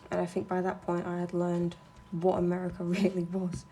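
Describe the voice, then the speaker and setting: A woman's voice: on the low side, monotone, brisk, mellow, a little hiss or hum. A young woman streaming while she draws, chatting casually in a blunt, sweary, ranting way. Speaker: streamer and artist.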